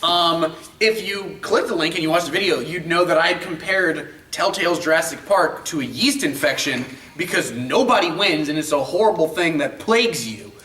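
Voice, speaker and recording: A man's voice: a little high, lively intonation, moderate pace, sharp tone. A man hosting a casual game and film chat, talking in an emphatic, profane, ranting way and repeating himself for stress. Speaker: show host. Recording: roomy echo, distant mic, faint noise.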